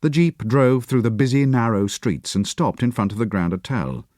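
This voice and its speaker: A man reading an audiobook in a measured, expressive storytelling voice. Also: mid-range voice, no room sound, clean studio sound. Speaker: audiobook narrator. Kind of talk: scripted narration.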